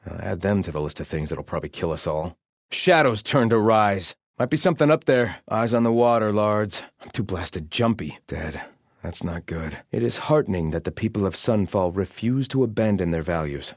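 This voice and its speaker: An actor playing a zealous, grumbling soldier loyal to a fallen king, delivering video game lines with pompous devotion and gruff scorn. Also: low voice, no room sound, studio quality. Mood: wary, gruff grumbling. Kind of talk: video game voice lines, battle barks and muttered remarks.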